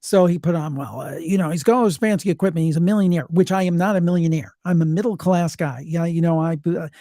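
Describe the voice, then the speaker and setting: A man's voice: on the high side, fast talker, mellow, no room sound, studio quality. A man who podcasts, telling anecdotes in casual chat with a folksy, conversational manner.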